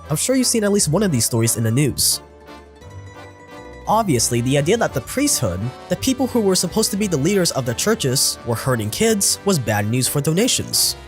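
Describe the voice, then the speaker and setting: Lively sarcastic male narrator, a bit sick. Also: on the high side. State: sounds sick. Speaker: documentary narrator. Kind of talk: scripted documentary narration.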